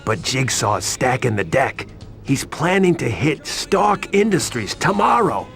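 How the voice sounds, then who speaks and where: An actor playing a nervous, streetwise male informant in a video game, with a creaky voice and hesitant, animated delivery. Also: medium pitch, a little slow, faint music behind, heavily acted. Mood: urgent, uneasy. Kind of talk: video game cutscene acting.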